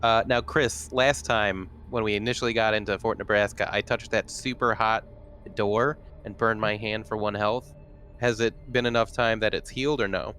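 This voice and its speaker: A man's voice: fairly low pitch, steady tone, normal speed, fairly bright tone, a little hiss or hum. A man talking casually as a player in a tabletop role-playing game. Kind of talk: casual chat during a game.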